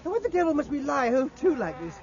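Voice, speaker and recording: An actor playing an impatient, self-critical young British naval officer in a 1950s radio drama. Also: male voice, very high voice, sing-song, fast, darkish tone, slight background noise. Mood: exasperated. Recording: dull old radio recording, faint music.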